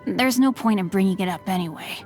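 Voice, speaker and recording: A woman's voice: mid-range voice, brisk, darkish tone, natural voice. A woman voicing a courteous, bookish teenage boy martial artist in a video game, with refined, eloquent and formal diction. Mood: coy, gentle. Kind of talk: video game character voice lines. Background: music.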